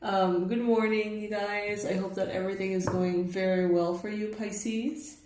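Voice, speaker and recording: A young woman hosting her own tarot channel, her voice raspy and hoarse from just waking up, chatting casually with fillers and soft laughs. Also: mid-range voice, steady tone, measured pace. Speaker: tarot reader, channel host. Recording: echoey room, faint noise.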